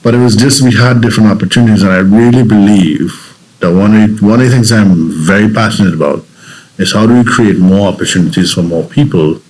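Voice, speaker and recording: A man's voice: lowish voice, fairly quick, darkish tone, poor audio. A man from Trinidad and Tobago with a Trinidadian accent, a guest telling his story in an interview, reflective and earnest with frequent restarts.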